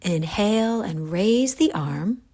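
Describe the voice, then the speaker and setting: A woman guiding a yoga nidra relaxation, giving calm, gentle, unhurried instructions with pauses. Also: medium pitch. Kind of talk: guided relaxation meditation.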